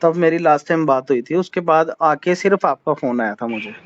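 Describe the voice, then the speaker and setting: A man with a slightly raised pitch questions the other party on a phone call, politely but persistently. His voice comes through dull and muffled, as captured over a phone line. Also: a little fast. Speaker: phone caller. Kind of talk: tense phone conversation.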